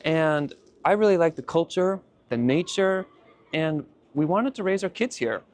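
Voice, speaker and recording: Animated middle-aged American male vlogger, bouncy delivery, slightly high voice, faint background hiss. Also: measured pace. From United States (Utah). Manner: lively, playful, upbeat storytelling. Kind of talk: casual vlog narration.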